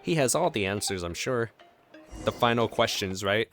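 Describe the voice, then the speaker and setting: A man's voice: fairly low pitch, animated, normal speed. A man giving casual, off-the-cuff reaction commentary. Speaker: reaction video host. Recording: faint game music behind the voice.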